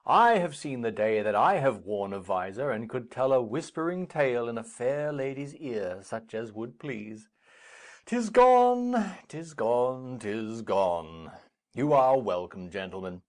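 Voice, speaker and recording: An actor playing a jovial, blustering old lord in theatrical Shakespearean style, booming and declaiming. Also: male voice, a little mellow.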